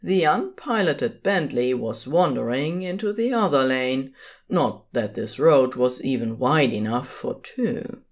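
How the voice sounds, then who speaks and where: Slow, expressive woman narrator. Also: extremely low pitch. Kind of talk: scripted fiction narration.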